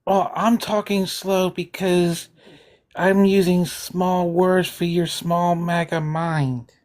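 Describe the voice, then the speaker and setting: A woman in a heated political argument in an online voice chat, lecturing sarcastically and sometimes slowing her words down on purpose. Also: lowish voice, level tone, a little mellow. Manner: sarcastic, lecturing, deliberately slow at times.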